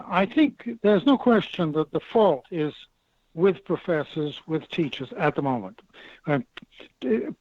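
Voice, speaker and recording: A man, a professor, making his case in a talk radio discussion with measured, emphatic, repeating argument. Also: sits high, no room sound, lo-fi sound. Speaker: professor guest.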